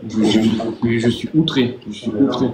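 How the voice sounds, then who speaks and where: A man's voice: medium pitch, steady tone, normal speed, noisy background. Theatrical man hosting a live stream. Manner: theatrical mock outrage, stammering repeats. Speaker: livestream host. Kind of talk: casual livestream chat. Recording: roomy, dull lo-fi sound. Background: music.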